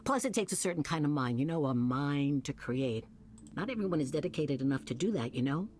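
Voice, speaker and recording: A woman's voice: low voice, lively intonation, brisk, a little mellow, a little hiss or hum. A woman playing a chatty, lonely, scatterbrained older lady who rambles wistfully in a visual novel. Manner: rambling, folksy, wistful storytelling. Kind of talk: naturalistic visual novel voice acting.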